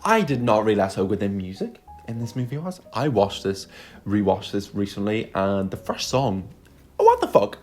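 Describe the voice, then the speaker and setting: A man's voice: on the low side, lively intonation, normal speed, a little mellow, soft background music. A young man from Lithuania, a native Russian speaker, talking casually and effusively as an online reviewer, with emphatic swearing. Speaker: YouTube film reviewer. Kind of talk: casual video chat.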